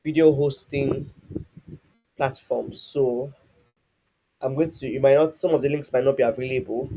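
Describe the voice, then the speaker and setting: A man with a husky voice, teaching a live online class in a rambling way, full of restarts and fillers. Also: mid-range voice, steady tone, moderate pace, warm tone, poor audio. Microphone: online call.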